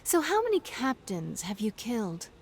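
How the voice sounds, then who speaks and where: An actress playing a self-assured pirate captain in a game, with a wry, teasing delivery. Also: female voice, fairly high pitch, huge pitch swings, normal speed, slight background noise. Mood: wry, testing. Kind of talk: cinematic game acting.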